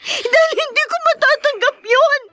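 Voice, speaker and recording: An anguished young daughter in a melodramatic radio play. Her voice is very high and piercing, full of wounded bitterness and pleading. The sound is dry and close. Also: moderate pace, sharp tone, no echo, soft background music. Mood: angry, hurt. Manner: emotional, pleading outbursts. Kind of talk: melodramatic Filipino radio drama acting.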